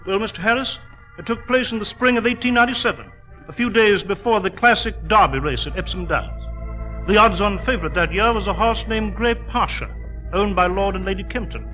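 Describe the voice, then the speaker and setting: An actor playing a genial, blustering older English doctor in 1940s radio drama, with an old-fashioned chatty manner. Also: male voice, sits high, pushed and bright, poor audio. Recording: old radio recording, faint music.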